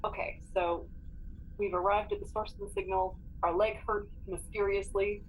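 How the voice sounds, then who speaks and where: A woman's voice: mid-range voice, little pitch movement, moderate pace, a little hiss or hum, rough recording. A woman co-hosting a tabletop game, talking casually as the play is worked out.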